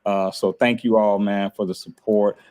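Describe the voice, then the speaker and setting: A man's voice: lowish voice, level tone, normal speed, darkish tone. A man hosting a casual podcast, talking in a laid-back, grateful way and laughing often. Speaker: podcast host. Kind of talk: casual chat.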